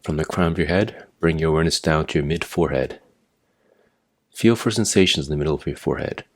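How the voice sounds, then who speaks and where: Very deep-voiced man guiding meditation. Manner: measured, imperative step-by-step guidance. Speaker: meditation instructor. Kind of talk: guided meditation narration, instructional.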